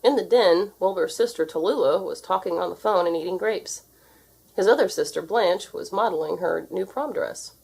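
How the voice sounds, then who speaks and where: A woman reads a children's storybook aloud in an expressive, theatrical narrating style. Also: fairly low pitch, moderate pace, gravelly, husky. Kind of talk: animated read-aloud narration.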